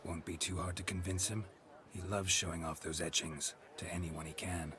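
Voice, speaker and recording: An actor playing a stoic, world-weary monster hunter in a video game, with a terse, deadpan delivery. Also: male voice, low voice, moderate pace, background noise, close to an everyday voice. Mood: dry, inquiring. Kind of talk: video game dialogue acting. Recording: music loud behind the voice.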